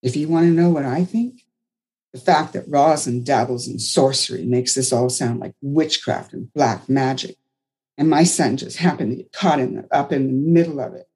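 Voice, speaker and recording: A woman's voice: very deep voice, normal speed, warm tone. A woman voicing a distraught young mother who is bitter and defensive. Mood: agitated.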